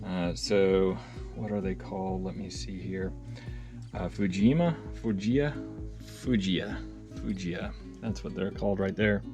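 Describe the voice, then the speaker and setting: A man's voice: low-pitched, a little slow, over music. A man hosting a casual, unscripted gear demonstration, enthusiastic, rambling along with muttered asides and self-corrections. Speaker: hobbyist video host and reviewer.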